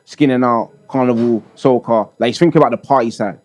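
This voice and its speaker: A man's voice: medium pitch, fairly quick, darkish tone. A man co-hosting a podcast, pressing the others with questions in casual slang. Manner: pushy questioning, London slang. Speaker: podcast co-host. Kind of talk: casual chat.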